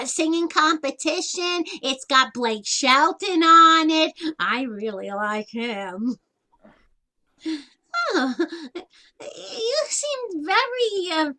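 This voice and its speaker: Actress as older single aunt in stage comedy; sharp bright tone, unhurried delivery, blunt and colloquial. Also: female voice, high voice, exaggerated voice. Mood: awkward, chatty. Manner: wry, plain-spoken, colloquial nonstandard grammar. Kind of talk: one-act stage comedy acting.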